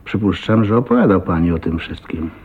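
An actor in a radio drama playing a talkative, blunt, jovial old self-made millionaire with a husky voice and a folksy manner. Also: male voice, low voice, lively intonation, fairly quick, a little hiss or hum. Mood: wistful, reminiscing. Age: older. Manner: garrulous, folksy, blunt, with chuckles and exclamations. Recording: muffled thin narrow-band radio recording.

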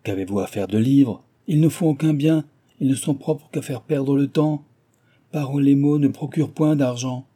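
A man reading an audiobook and voicing a stingy, gruff old male miser who blusters and scolds. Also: medium pitch, moderate pace, pitched above the performer's own voice, lightly acted. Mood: scornful. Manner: blustering, shouting, scornful. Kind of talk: audiobook dialogue.

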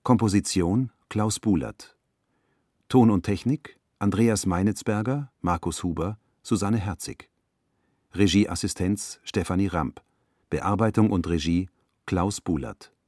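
Slow, low-voiced male announcer. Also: darkish tone, dry recording, clean studio sound. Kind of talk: formal scripted credits announcement.

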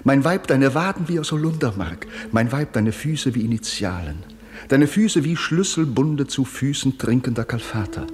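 An actor playing a solemn, entranced poet who recites incantatory verse in measured phrases for a radio drama. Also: male voice, mid-range voice, animated, a little hiss or hum, lightly acted. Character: solemn male lover-poet chanting surrealist verse, entranced.

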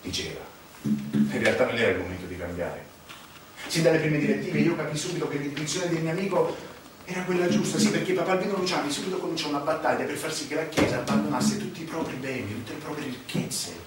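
A man on stage playing a brooding, self-justifying cleric in a theatrical monologue, declaiming with repetitions and deliberate pauses. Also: on the high side, lively intonation, background noise, close to an everyday voice. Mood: insinuating. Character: brooding, self-justifying male cleric, confessor to powerful schemer. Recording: echoey, distant stage recording with music behind.

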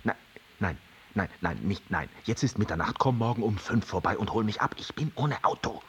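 An actor in a radio drama playing a sarcastic, easily exasperated older widower who helps reluctantly. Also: male voice, on the low side, expressive, normal speed, a little hiss or hum, close to an everyday voice. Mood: subdued.

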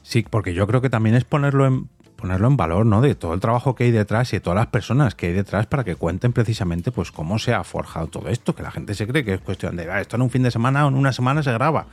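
A man's voice: fairly low pitch, lively intonation, quick pace, darkish tone, no echo, faint music behind. A man with an accent from Spain hosts a casual interview, commenting at length in a conversational way. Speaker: podcast host interviewing a guest.

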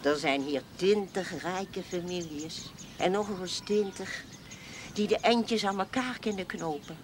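An actress playing a chatty, plain-spoken old spinster smallholder in a television period drama, folksy and wry. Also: female voice, on the low side, measured pace, lightly acted. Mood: earnest, wry. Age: older. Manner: folksy, blunt, wry, talkative. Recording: noisy old TV soundtrack with farmyard birds.

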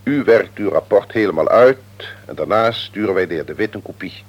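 An actor playing a stern, pompous company executive in a radio play, with officious, formal phrasing. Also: male voice, deep voice, expressive, a little fast, highs cut off. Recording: old radio play recording.

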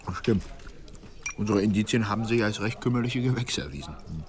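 An actor playing a laconic police investigator, resigned and drily wry, in a crime radio drama. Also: male voice, lowish voice, lively intonation, fairly quick, background noise, natural voice. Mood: resigned, dry.

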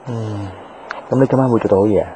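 A man's voice: lowish voice, fairly quick, mellow, slight background noise, lightly acted, low-quality recording. A man acting a reserved, doubting young boyfriend in a radio drama, understated and natural, with a Hong Kong accent. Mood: restrained, piqued. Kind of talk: Cantonese radio drama acting with inner monologue.

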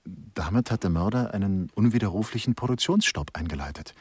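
An actor playing an eager sleuth who theorizes in clipped, emphatic phrases in a grotesque radio crime play. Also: male voice, fairly low pitch, expressive, brisk, slightly rough, no echo. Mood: eager, deducing.

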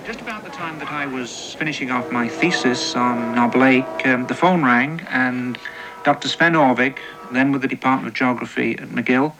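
A man's voice: medium pitch, normal speed, fairly bright tone, faint music behind. A man from England telling an anecdote in a documentary interview, on an archival recording. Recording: noisy archival recording with ambience.

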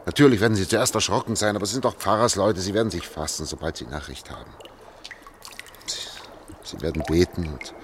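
An actor playing a desperate, agitated engineer on the run in a German radio drama, halting and repeating himself as he pleads. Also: sits low, slight background noise. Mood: tense, pressing. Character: desperate, agitated male engineer fleeing underground.

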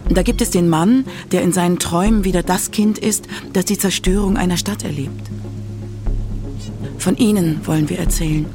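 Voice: mid-range voice, normal speed, over music. A narrator reading a scripted documentary account.

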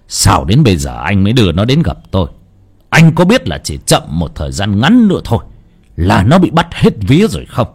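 Man voicing a stern, gruff exorcist, swinging pitch. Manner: scolding, commanding. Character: stern, gruff male spirit master, exorcist. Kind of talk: dramatized horror story narration.

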